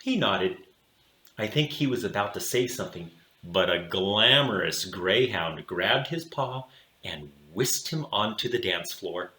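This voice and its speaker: A man narrates a children's storybook as a read-aloud host. His voice is bright and forceful, with lively, dramatic swings and deliberate pacing. The recording has a clear room echo. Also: fairly low pitch.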